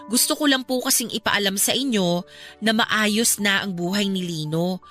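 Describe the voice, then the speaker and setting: A woman's voice: mid-range voice, moderate pace, a bit bright, faint music behind, natural voice. A Filipina actress playing an outspoken, caring young woman and loyal friend in a radio drama, speaking earnestly. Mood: earnest reproach. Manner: earnest, polite yet accusing.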